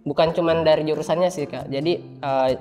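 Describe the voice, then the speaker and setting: A man's voice: fairly high pitch, fairly even intonation, fairly quick, darkish tone. A man, explaining things informally as a student guest in an interview, with hesitant phrasing. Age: 20s. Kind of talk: casual interview answers. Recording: reverberant room with faint background music. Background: faint music.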